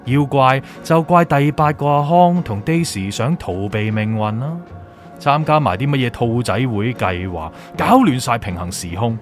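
An actor playing a gloating, manipulative villain in an audio drama, with a Hong Kong Cantonese accent and taunting, drawn-out delivery. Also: male voice, medium pitch, faint music behind, lightly acted. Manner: theatrical, taunting, drawn-out emphasis, drops in English words. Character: gloating, manipulative villainous male official, mocking.

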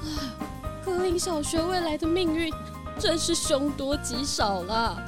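A woman voicing an excitable, curious young townsperson in a children's radio drama, wide-eyed and exclaiming. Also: sits high, measured pace, over loud music. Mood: excited, worried.

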